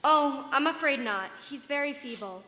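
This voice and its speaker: A woman's voice: high-pitched, animated, normal speed, sharp tone. A woman acting a polite, puzzled and anxious mother in a stage radio drama. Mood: concerned. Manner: polite, concerned. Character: refined, anxious middle-aged mother, polite but puzzled. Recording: echoey hall, distant, long reverb.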